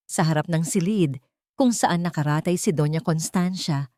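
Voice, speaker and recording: Casting: woman narrator for dramatic audio fiction, slightly low register, emotive scripted delivery, dry studio-like sound. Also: moderate pace, mellow, no echo.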